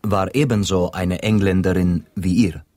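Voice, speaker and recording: Slow, husky Spanish gentleman, deep-voiced. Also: fairly even intonation, no room sound, clean studio sound. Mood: dignified, courteous. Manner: formal, deliberate, sprinkles in Spanish phrases. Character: courteous, wry Spanish gentleman, middle-aged or older. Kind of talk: radio play acting.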